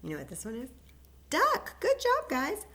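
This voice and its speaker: A woman librarian leading a children's story time, chatting gently and encouraging the kids as she introduces songs. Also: high voice, huge pitch swings, moderate pace. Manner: gentle, encouraging, chatty, singing simple tunes.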